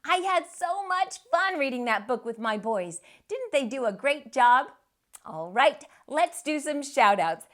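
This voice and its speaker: A woman hosting a story time and reading a picture book aloud, expressive and sing-song. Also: on the high side. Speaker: storyteller host.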